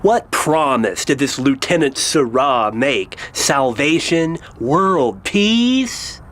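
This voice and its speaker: A man's voice: medium pitch, big pitch swings, takes it slowly, a bit bright, slight background noise. A man playing a skeptical, studious young nephew in an audio drama, challenging and incredulous. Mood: skeptical curiosity. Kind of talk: dramatized audio fiction acting.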